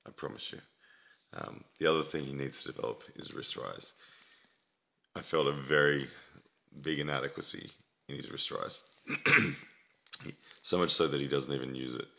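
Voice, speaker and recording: Very deep, forceful man's voice, blunt. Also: moderate pace, gravelly, pauses often. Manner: blunt, scolding, emphatic repetition. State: losing his voice, throat-clearing. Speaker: competitive arm wrestler. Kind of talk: casual opinion monologue to viewers.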